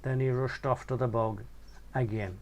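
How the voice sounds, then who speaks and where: A man reading his own crude first-person short story in a casual, slangy way. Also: moderate pace. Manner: crude, casual narration with animated swings in pitch.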